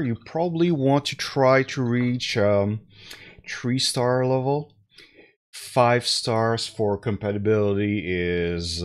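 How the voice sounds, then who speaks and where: A man in his thirties talks through a headset in a small room at home, slightly stuffed up. His voice sits fairly low and he speaks unhurriedly, pausing with fillers as he chats to his live audience. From Canada. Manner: slow, relaxed, with many fillers and restarts. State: slightly congested. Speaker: tech deals streamer. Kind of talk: casual live-stream talk to chat.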